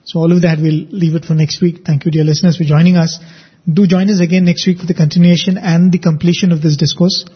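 A man's voice: a little high, level tone, fast talker, slightly rough. A man hosting a devotional radio talk show, speaking in a reflective, earnest way and telling stories. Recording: dull lo-fi radio sound.

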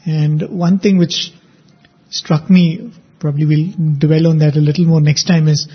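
A man's voice: fairly high pitch, flat delivery, measured pace, husky. Earnest man hosting a devotional radio show. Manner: reflective, earnest, storytelling. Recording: dull lo-fi radio sound.

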